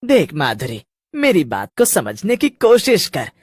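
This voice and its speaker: A woman puts on a young male village good-for-nothing for a Hindi cartoon. The voice is low and rough-edged, swooping widely as it wheedles and taunts. He sounds smarmy, spiteful and full of schemes. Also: huge pitch swings, a little slow, a little mellow, no echo, full character voice. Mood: pleading. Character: smarmy, spiteful young village loafer man, pestering suitor.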